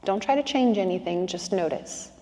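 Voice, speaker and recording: A woman guiding a slow breathing meditation in calm, soothing instructions with long pauses. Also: medium pitch, long reverb tail, rough recording.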